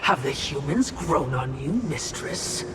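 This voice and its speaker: Sly, slow-talking spirit servant, distant-sounding. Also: female voice, very deep voice, noisy background. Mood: musing, ominous. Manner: sardonic, insinuating, mock-deferential. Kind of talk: video game cutscene dialogue.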